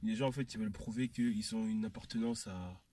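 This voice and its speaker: A husky man chiming in on a casual group chat. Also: on the low side, fairly quick, mellow, faint music behind. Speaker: panel member chiming in. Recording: distant from the microphone.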